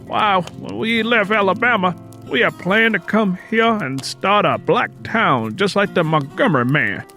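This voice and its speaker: A man's voice: high-pitched, a little slow, bright, forceful tone, voice pushed up, exaggerated voice. A man playing a bitter, stubborn older man in an audiobook, lecturing in folksy Southern US dialect. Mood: bitter, warning. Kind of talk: acted audiobook character dialogue. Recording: music playing under the voice.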